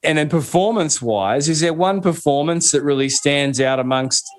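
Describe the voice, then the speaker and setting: A man's voice: a little high, moderate pace. Chatty Australian man hosting an interview. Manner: chatty, long winding questions. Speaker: podcast host. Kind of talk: interview host.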